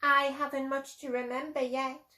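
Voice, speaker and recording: A woman's voice: sits high, level tone, moderate pace, pushed and bright. A woman voicing a timid young boy owl in a childlike, plaintive, emphatic way for a storybook. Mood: polite, curious. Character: timid young boy owlet afraid of the dark.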